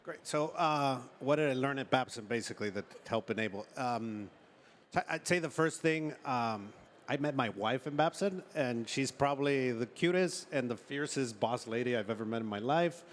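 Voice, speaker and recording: A man from Ecuador talking as a startup founder being interviewed, telling stories in an informal, halting way with frequent check-in words. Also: medium pitch. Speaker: startup founder and chief executive, interview guest. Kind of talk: conversational storytelling in an on-stage interview. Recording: noticeable background noise, room ambience.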